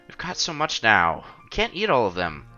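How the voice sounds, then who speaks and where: Bright, animated man reading aloud. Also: medium pitch, normal speed. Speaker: streamer playing a visual novel. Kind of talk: reading story text aloud with casual commentary. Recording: dull lo-fi sound, music behind.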